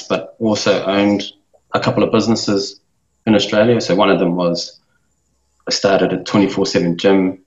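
A man in his thirties from New Zealand speaks as an interview guest, his voice sitting low. He thinks aloud, hesitating and restarting often. The sound is roomy and a little dull. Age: 30s. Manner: thoughtful, with many fillers and restarts. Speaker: guest, business owner. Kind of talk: casual interview talk.

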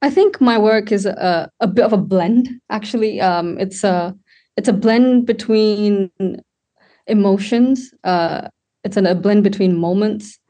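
A young woman from Sri Lanka, a wedding photographer, talking casually as a guest and pausing often to find her words. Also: mid-range voice, warm tone. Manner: thoughtful, searching for words with many fillers. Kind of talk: casual podcast conversation.

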